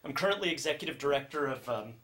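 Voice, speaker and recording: A man's voice: medium pitch, animated, fast, fairly bright tone. A man from San Francisco giving a talk to a class over a video call, hesitant, with many ums and restarts. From San Francisco, USA. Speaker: guest speaker.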